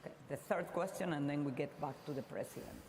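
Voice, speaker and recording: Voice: mid-range voice, fast. A moderator at a university Q&A, organizing the queue and giving instructions to students. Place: university lecture hall. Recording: long hall reverb with crowd and noise.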